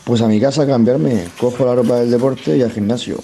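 Man playing a dark-voiced warehouse foreman. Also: medium pitch, steady tone, brisk, soft background music, close to an everyday voice. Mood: matter-of-fact. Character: married warehouse foreman, fit man in his thirties. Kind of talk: amateur radio soap acting.

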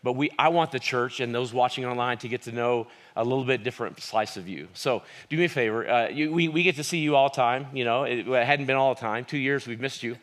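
A man's voice: mid-range voice, fast, hardly any pauses, fairly bright tone. Pastor guy interviewing a guest at church, friendly, keeps restarting his words. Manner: friendly, stumbling and repeating words.

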